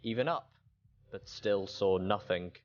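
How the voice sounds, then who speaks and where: A man's voice: on the low side, measured pace, muffled recording, slight background noise. A man reading a story aloud as a dramatic narrator. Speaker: audiobook reader.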